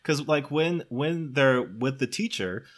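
A man talks through a movie in casual, comedic conversation. His voice sits at an average pitch, and he is animated, often slipping into acted-out quotes to make a point. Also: takes it slowly. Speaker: podcast film commentator. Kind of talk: casual comedic film discussion.

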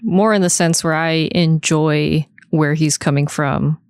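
A woman's voice: deep voice, steady tone, unhurried, smooth, no echo, clean studio sound. A woman co-hosting a podcast, chatting casually in an informal, slangy way. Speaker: podcast co-host. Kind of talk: casual chat.

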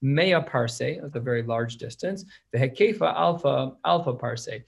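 Man, study-class teacher, gravelly, husky, reads then explains. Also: mid-range voice, expressive, a little slow. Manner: reads Hebrew and Aramaic, then explains it in plain English. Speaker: Talmud teacher. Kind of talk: explaining a text, casual classroom talk.

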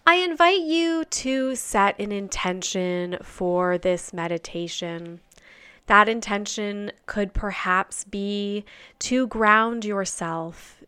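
A woman guiding a grounding meditation, speaking softly and soothingly, with phrase endings that fade into near-whispers. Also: mid-range voice, smooth, no echo. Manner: soft, slow and soothing, trailing off into near-whispers. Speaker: meditation guide.

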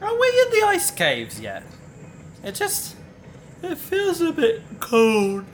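A man's voice: extremely high pitch, wildly swooping pitch, slow pace, slight background noise, full character voice. A man playing an injured, dazed human researcher in a comic sci-fi audio drama, rambling in a guileless, childlike way. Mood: woozy, hesitant.

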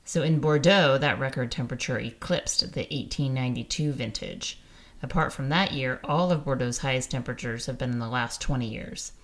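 A woman's voice: extremely low pitch, brisk. A woman co-hosting a wine show, reading out news items and asking her co-host questions in a lightly teasing way. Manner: newsy, inquisitive, lightly teasing. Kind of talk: conversational wine news presenting.

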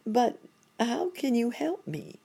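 A woman's voice: on the high side, very animated, takes it slowly, vocal fry, mellow, breathy edge, voice pushed up, full character voice. A woman voicing a flustered, self-pitying male monkey doctor in a children's story, exclaiming and lamenting with wordy politeness. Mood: hopeful.

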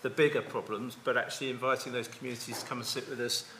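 Man, forceful bright tone, blunt panelist, echoey hall sound. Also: medium pitch, fairly even intonation, slight background noise. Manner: blunt, self-deprecating, halting restarts. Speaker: conference panelist. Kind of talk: conference panel discussion.

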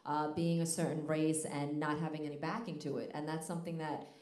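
A woman's voice: low-pitched, fairly even intonation, a little fast, darkish tone, roomy sound. A woman born in South Korea, a guest on a church stage, telling her life story in a friendly, open way with easy laughter. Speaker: guest artist.

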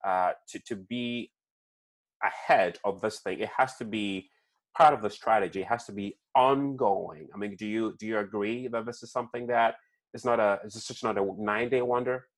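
A man's voice: fairly low pitch, moderate pace. A man with a non-native English accent, hosting a casual conversation, often repeating words and checking in with "right?". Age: young kids, adult. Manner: frequent restarts and "right?" tags. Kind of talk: conversational interview.

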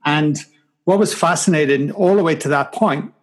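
A man's voice: a little high, moderate pace. Mild Welsh accent, a reflective man. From Wales. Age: 40s. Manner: reflective, self-deprecating, many restarts. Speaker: guest. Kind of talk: casual interview chat.

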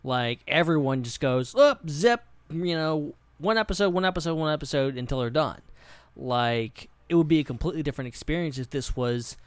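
A man's voice: on the high side, no echo. A man co-hosting a podcast in loose, casual chat, rambling and often saying "like". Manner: rambling, filler-heavy with frequent "like". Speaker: podcast co-host. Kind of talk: casual pop-culture discussion.

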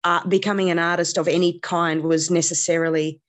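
A woman's voice: on the low side, level tone, brisk. A middle-aged woman, a comedian chatting casually as an interview guest, hesitant with many fillers. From Australia.

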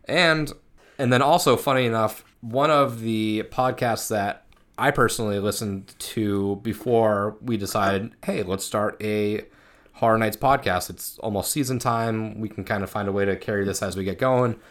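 Male podcast co-host, low voice, informal and self-deprecating, loose conversational delivery. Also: normal speed, fairly bright tone. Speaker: co-host of a horror attraction fan podcast. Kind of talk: casual chat.

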